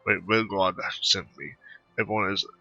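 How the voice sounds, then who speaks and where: A man doing casual game commentary and reading text aloud, haltingly, stumbling over words. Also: deep voice. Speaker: gamer narrating a playthrough. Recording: slightly dull, lo-fi microphone audio.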